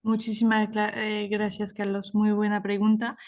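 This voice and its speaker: A woman's voice: medium pitch, completely flat delivery, moderate pace, darkish tone. A woman moderating a panel discussion, friendly and a bit hesitant, with many fillers.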